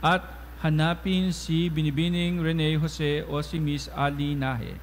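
A man's voice: on the high side, level tone, slow, a little hiss or hum. A man leading formal prayers and giving parish announcements as a priest, speaking at a lectern microphone in a large church. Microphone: stand mic at lectern. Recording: long church reverb, faint ambience.

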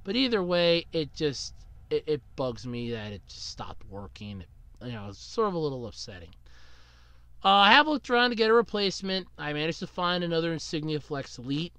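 A man talking through a solo podcast in a rambling, casual way, still getting over a cold. His voice is bright and smooth, and it jumps around a lot in pitch. The sound is close and dry, with no room around it. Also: sing-song, a little fast, dry recording. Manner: rambling, chatty, swings up and down in pitch. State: recovering from a cold. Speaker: podcast host.

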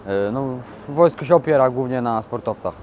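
A young man who boxes for the army answers interview questions with earnest pride. His voice sits at a middle pitch. He is recorded outdoors on a lapel mic, with faint traffic behind him. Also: normal speed, darkish tone. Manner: earnest, proud. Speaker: soldier boxer. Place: outdoors by a glass building.

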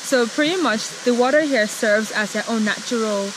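A woman's voice: a little high, normal speed, background noise. A young woman vlogging a mountain hike outdoors, chatty and out of breath, filmed on a handheld camera at arm's length. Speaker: travel vlogger. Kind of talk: casual travel vlog. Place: outdoors on a mountain trail by a waterfall. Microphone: camera held at arm's length.

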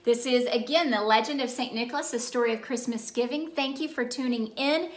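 A woman in her thirties reading a storybook aloud to children in an animated, expressive way with emphatic phrasing. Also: fairly high pitch, fairly quick, a little hiss or hum. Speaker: children's ministry read-aloud host. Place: small indoor room by a Christmas tree. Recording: roomy indoor echo.

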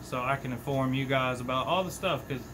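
A man's voice: medium pitch, a little fast, background noise. A man in his thirties hosting a fishing channel, giving casual, folksy tips to the camera from a small workshop. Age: 30s. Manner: chatty, folksy, animated with hand gestures. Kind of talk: casual talking-head tips.

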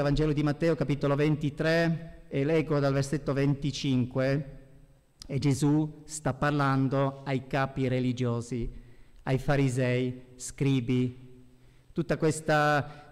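A man's voice: fairly high pitch, clean tone. A middle-aged man preaching a sermon as a pastor, with emphatic delivery, speaking at a lectern microphone in a church. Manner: emphatic, swinging between hushed and raised voice. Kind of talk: sermon with scripture readings. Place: church hall, indoors at a pulpit. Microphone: gooseneck lectern microphone. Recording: reverberant church hall.

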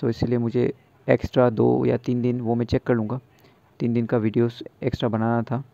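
A man's voice: mid-range voice, flat delivery, a little fast, vocal fry, warm tone, dry recording, dull audio. A young man in a casual daily vlog, chatting tiredly at home with fillers and English words mixed in, speaking into earphone mics. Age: young adult. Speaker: vlogger. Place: small room at home. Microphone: wired earphone mic.

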